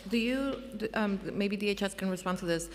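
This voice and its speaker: A woman's voice: mid-range voice, brisk, faint music behind. A woman chairing a public hearing in a large council chamber, her voice a little scratchy, talking casually with restarts and fillers. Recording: hall reverb, faint room noise.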